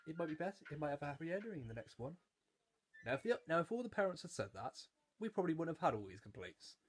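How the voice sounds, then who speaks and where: Young man rambling, slightly husky voice. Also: on the high side, sing-song, fast. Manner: rambling, stop-start, repeats phrases. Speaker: YouTube reviewer. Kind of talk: casual rewatch commentary on a children's show.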